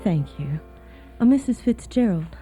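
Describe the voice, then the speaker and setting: An actress playing a weary, reserved young Southern wife, speaking politely and hesitantly in a period radio drama. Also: female voice, mid-range voice, expressive, measured pace, mellow, breathy edge, faint music behind.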